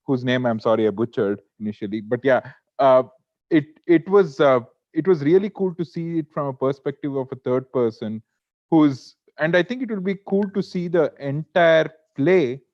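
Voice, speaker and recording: A man's voice: a little high, normal speed. Man commenting, dull video call. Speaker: workshop participant giving feedback. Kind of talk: casual feedback discussion. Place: online video meeting.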